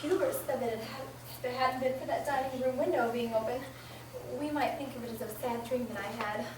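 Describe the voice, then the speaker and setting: Meek, anxious housewife acted by a woman for radio drama: higher voice, hesitant, polite, echoey with clear hiss. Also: steady tone, brisk, natural voice. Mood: hopeful, uneasy. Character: frail, forgetful, trusting married woman, anxious after illness. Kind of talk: amateur old-time radio play acting. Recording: roomy, distant, noticeable hiss.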